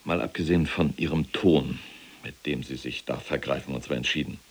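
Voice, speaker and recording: An actor playing a pompous, pedantic middle-aged SS general in a German radio play, husky and haughty with clipped delivery. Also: male voice, very deep voice, fairly even intonation, a little fast, full character voice. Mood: stern, pedantic.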